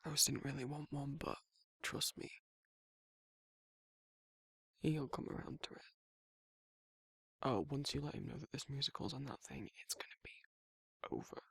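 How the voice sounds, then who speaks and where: A man playing a protective, affectionate werewolf boyfriend in an intimate ASMR roleplay, murmuring casually in a husky, breathy voice that often drops toward a whisper. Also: mid-range voice, steady tone, normal speed, no room sound, clean studio sound. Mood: teasing, affectionate. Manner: casual, colloquial, soft-spoken intimate murmur with soft chuckles.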